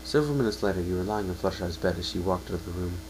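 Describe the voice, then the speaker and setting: A man's voice: deep voice, very fast, darkish tone, low-quality recording. A man narrating a second-person story as a dramatic reading, sometimes stumbling and correcting himself. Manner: reads with stumbles and self-corrections. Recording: home recording with faint hum.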